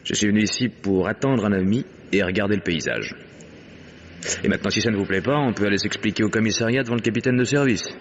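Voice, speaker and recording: Private detective, male, acted: lowish voice, unflappable, dry sarcasm, vintage radio noir, muffled slightly noisy recording. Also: natural voice. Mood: sardonic. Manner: sardonic, insolent, measured. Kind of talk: French radio crime drama acting.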